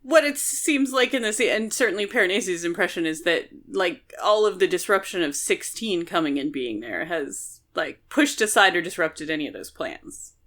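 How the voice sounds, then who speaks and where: A woman co-hosting a book podcast, talking casually and explaining things in an animated, conversational way. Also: lowish voice, moderate pace, hardly any pauses. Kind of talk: casual chat discussing a novel.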